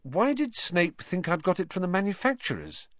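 A man reading, voicing an earnest teenage boy asking quick, eager questions. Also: sits high, wildly swooping pitch, a bit bright, dry recording, highs cut off, voice pushed up, full character voice.

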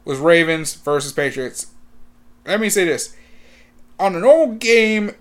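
Young man, casual sports-fan chatter, slightly high-pitched, rambling with many fillers, bursts of excitement. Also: measured pace. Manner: gets loud and excited on big moments. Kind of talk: casual talk to camera.

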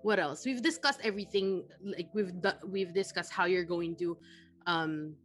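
A woman's voice: on the low side, normal speed, faint music behind. A young woman from the Philippines hosting a podcast interview, chatty and full of fillers and restarts. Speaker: podcast host.

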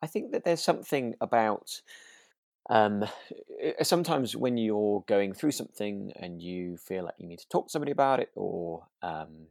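Casting: male podcast co-host, lowish gravelly voice, close dry sound, reflective and halting, informal. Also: expressive, darkish tone, no echo. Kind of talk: relaxed casual discussion.

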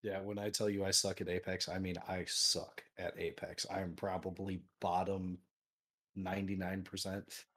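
Low-voiced, easygoing man who sounds made for radio. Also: flat delivery, moderate pace, fairly bright tone, breathy edge. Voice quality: voice people call fit for radio. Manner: easygoing, self-deprecating. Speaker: podcast guest, streamer. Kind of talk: casual podcast chat.

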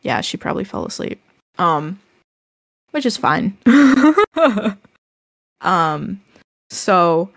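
A woman co-hosting a casual podcast, speaking gently and haltingly with many ums and restarts, her voice described as soothing. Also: fairly low pitch, no room sound, studio quality. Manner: gentle, reassuring advice. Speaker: podcast co-host.

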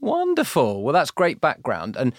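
A man's voice: on the high side, huge pitch swings, normal speed, no room sound. Man, podcast co-host, enthusiastic, rambling, dry close-mic sound. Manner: enthusiastic, rambling questions with fillers. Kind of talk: conversational podcast hosting.

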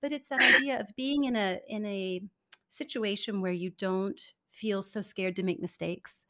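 Lively woman explaining with laughs. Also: mid-range voice, moderate pace. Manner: animated, laughs often, acts out quoted remarks. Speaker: guest, communication expert. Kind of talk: conversational interview.

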